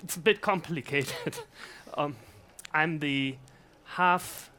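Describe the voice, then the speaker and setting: A man's voice: on the high side, animated, takes it slowly, bright, forceful tone. A man presenting at a conference, chatty and self-deprecating, asking the audience questions. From Scotland and Germany. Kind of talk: casual conference talk. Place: conference room.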